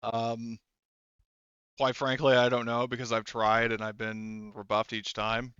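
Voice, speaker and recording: A man's voice: medium pitch, completely flat delivery, a little slow, sharp tone, smooth, dry recording, muffled recording. A man acting as game master of a tabletop roleplaying game, talking casually and hesitantly as he searches for words.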